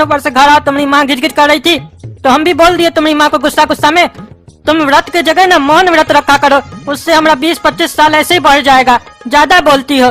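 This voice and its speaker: A high-pitched cartoon papa played by a woman with a Bihari accent, sentimental and doting. He talks in an exaggerated, plaintive, homespun way, with light music underneath. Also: fairly bright tone. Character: sentimental, doting widowed father in comic cartoon. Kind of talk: cartoon skit dialogue. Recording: music behind the voice.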